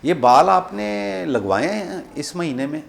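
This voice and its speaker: A man with a Pakistani accent making a prank phone call, rambling and repeating himself in deliberately confusing improvised banter. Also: a little high, lively intonation, vocal fry. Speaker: prank caller hosting a comedy show.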